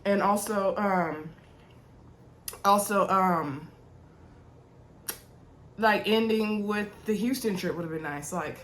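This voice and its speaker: A woman's voice: mid-range voice, takes it slowly, pauses often, a bit bright. A young woman with a sore throat and congestion chatting casually to her viewers as she recaps a reality TV episode, with lots of ums and y'alls. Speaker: TV recap host.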